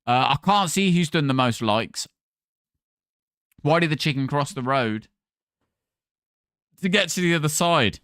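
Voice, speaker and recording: A man's voice: a little high, lively intonation, a bit bright, no room sound, studio quality. Chatty Scottish man streaming. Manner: chatty, thanks viewers rapid-fire, jokey. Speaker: live streamer. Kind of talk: casual live-stream chat.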